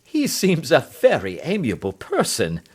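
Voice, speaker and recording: A man doing a calm, wry detective. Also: on the high side, unhurried, breathy edge, voice pushed up, full character voice. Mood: amused. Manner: quiet, bland, imperturbable. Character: calm, amused, clever male detective.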